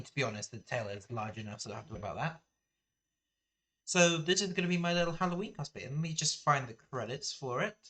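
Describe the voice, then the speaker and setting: A man's voice: a little high, bright, forceful tone. A young man casually chatting on a live game stream, rambling and self-deprecating, laughing now and then.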